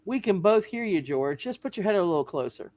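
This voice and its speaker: A woman's voice: medium pitch, animated, a little fast, darkish tone, natural voice. A woman playing a coy, teasing young single woman in a hammed-up amateur radio play reading. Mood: bright, pointed.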